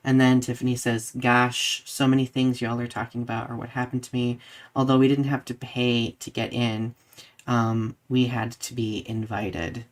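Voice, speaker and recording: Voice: medium pitch, flat delivery, moderate pace. Casting: live podcast co-host, middle-register voice, informal discussion style, chatty phrasing. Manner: conversational, peppered with "like". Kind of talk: casual discussion, reading out live comments.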